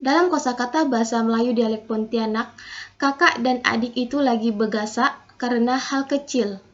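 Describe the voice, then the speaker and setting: A young woman student reading a prepared linguistics presentation in a steady, methodical way, saying example sentences aloud and then breaking them down. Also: fairly high pitch, moderate pace. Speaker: student presenting a final assignment. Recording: dull lo-fi recording.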